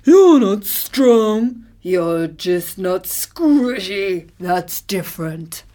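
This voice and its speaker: A woman as a loud, blustering bully elephant. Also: big pitch swings, very slow. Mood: scornful, grudging. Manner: booming, scornful, trumpeting.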